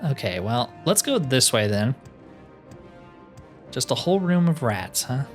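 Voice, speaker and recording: A man's voice: medium pitch, sing-song, a little slow. Casual wry man over game music. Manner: wry, thinking aloud. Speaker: gaming video host. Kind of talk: casual solo video game playthrough commentary.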